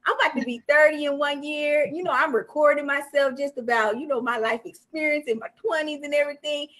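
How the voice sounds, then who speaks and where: A woman's voice: high voice, steady tone, a little fast, a bit bright. A woman in her thirties hosting a talk show, chatting in a personal way. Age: 30s. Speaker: host.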